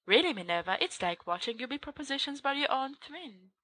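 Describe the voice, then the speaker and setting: An elderly wizard headmaster murmurs with soft, amused understatement, as a woman reader puts him on. The voice stays bright in tone, close and dry. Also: high-pitched, big pitch swings, brisk, husky, dry recording, voice pushed up, full character voice.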